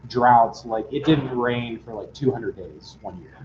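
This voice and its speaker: A man's voice: medium pitch, a little slow. A man leading a casual group chat, rambling and filling his talk with "like". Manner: rambling, heavy on "like". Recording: echoey room, muffled, faint noise.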